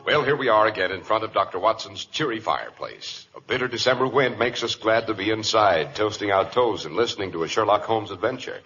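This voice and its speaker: A man's voice: lowish voice, brisk, hardly any pauses, pushed and bright, a little hiss or hum. An American man announcing and selling in polished, emphatic 1940s radio style. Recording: muffled vintage radio recording.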